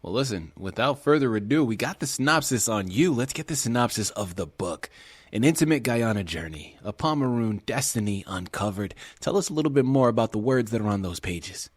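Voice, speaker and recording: An enthusiastic male radio host introduces his guest with easy, conversational energy. His voice sits at a middling pitch, and the recording is dry and close, with no room echo. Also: expressive, a little fast, husky. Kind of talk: radio interview hosting.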